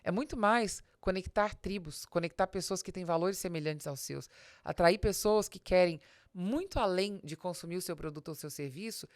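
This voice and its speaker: A woman's voice: on the low side, moderate pace, dry recording, clean studio sound. A Brazilian woman hosting a podcast, giving informal business advice in a didactic, emphatic way, speaking straight to the listener. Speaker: podcast host and business strategist.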